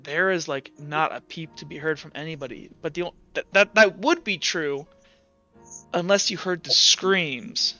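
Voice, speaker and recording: A man narrating a tabletop roleplaying game as game master, informal and halting, pausing to think. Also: a little high, pushed and bright. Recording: slightly dull audio with faint music. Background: faint music.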